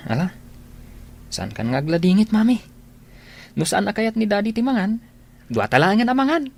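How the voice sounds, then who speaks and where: A man acting a gentle young son devoted to his mother in an Ilocano radio drama, soft-hearted and earnest, with an Ilocano Filipino accent. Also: high voice, sing-song, normal speed, gravelly, heavily acted. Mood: concerned.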